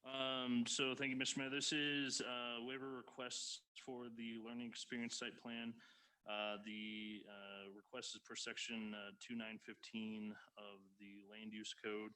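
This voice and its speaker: A man's voice: medium pitch, level tone, unhurried, bright, forceful tone, rough recording. Man presenting through a face mask. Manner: formal, filler-laden presenting. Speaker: town planner presenting a site plan. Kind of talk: staff presentation. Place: council chamber. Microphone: speaking through a face mask.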